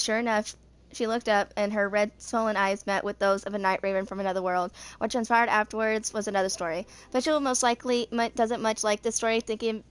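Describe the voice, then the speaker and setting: A woman in her twenties reading a story aloud as an amateur narrator, slightly halting in her delivery. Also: medium pitch, smooth. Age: 20s. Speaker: reader of game character lore.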